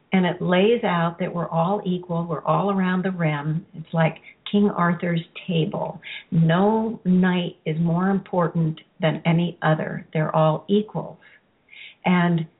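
A woman with a hoarse, raspy voice hosting a show and calmly leading a guided healing meditation, pausing and restarting her sentences as she goes. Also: fairly low pitch. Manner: calm, instructive, unhurried, with restarts. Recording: tinny phone-like line.

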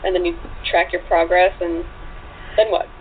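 A woman's voice: fairly low pitch, level tone, moderate pace. A woman guest chatting casually in an informal, effusive way. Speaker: guest, actress and audiobook voice performer. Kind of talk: casual chat. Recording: tinny phone-line sound with faint noise.

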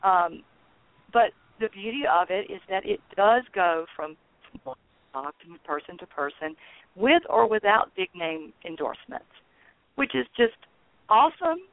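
A woman's voice: mid-range voice, expressive, measured pace, no room sound. A woman guest on a radio interview, talking earnestly and chattily with bursts of enthusiasm, calling in by phone. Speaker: guest, founder of a peace network. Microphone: phone call. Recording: tinny dry phone line.

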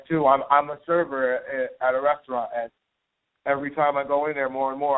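Halting young man on a phone line. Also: medium pitch. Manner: halting, repeats himself. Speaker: acting student caller. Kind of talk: casual phone interview. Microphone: telephone.

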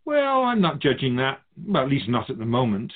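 A man's voice: mid-range voice, huge pitch swings, brisk, phone-quality sound. A man playing an otherworldly keeper of souls in a radio drama, a wise, teasing mentor who muses theatrically in rhetorical questions. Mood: lecturing. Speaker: spirit guide in a radio drama.

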